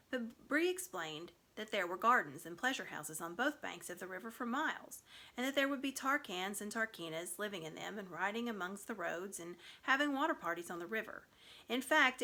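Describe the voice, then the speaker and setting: A woman giving an expressive scripted narration of a children's story. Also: mid-range voice. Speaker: storybook reader.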